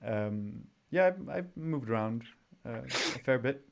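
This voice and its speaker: A man from Sweden hosting a casual interview over a remote call, talking in a relaxed, rambling way with frequent restarts. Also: medium pitch, measured pace, creaky. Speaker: podcast host. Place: home.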